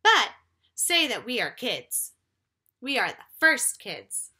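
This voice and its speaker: Woman playing young girl, storybook adventurer, high-pitched, excited, bright, exclamatory. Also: takes it slowly, breathy edge, full character voice. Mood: eager. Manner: exclamatory, bouncy, wide-eyed.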